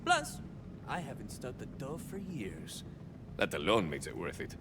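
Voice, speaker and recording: An actor playing a swaggering young cyborg soldier of fortune in a video game, a touched flavor, musing theatrically and landing wry deadpan punchlines. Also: male voice, mid-range voice, unhurried, big-room sound, background noise. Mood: wry reflection. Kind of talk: video game character monologue.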